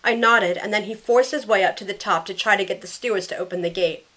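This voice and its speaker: A woman's voice: medium pitch, fast, a bit bright, close to an everyday voice. A woman playing a caring young heroine who narrates her own ordeal in a scripted first-person audio drama. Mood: anxious narration.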